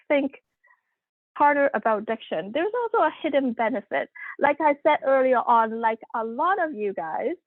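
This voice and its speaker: A woman's voice: high voice, no echo. Woman presenter, Cantonese native, tinny line. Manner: conversational, many fillers and restarts. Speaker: workshop presenter. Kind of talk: online workshop talk. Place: home room, video call. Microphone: computer mic on video call. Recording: tinny phone-like audio.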